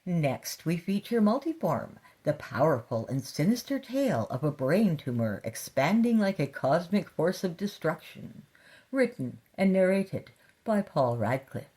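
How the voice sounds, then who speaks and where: A woman's voice: on the low side, big pitch swings, a little slow, dark tone. A woman hosting a horror fiction podcast, reading a scripted introduction in a theatrical, playfully sinister manner. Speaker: podcast host.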